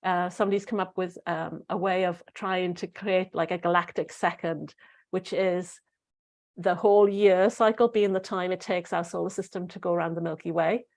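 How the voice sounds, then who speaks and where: A middle-aged woman talks to her listeners in a loose, rambling way, full of fillers and restarts. Her voice sits a little low and sounds slightly croaky. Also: fairly even intonation, normal speed, darkish tone. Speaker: spiritual podcast host. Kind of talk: casual solo talk to listeners.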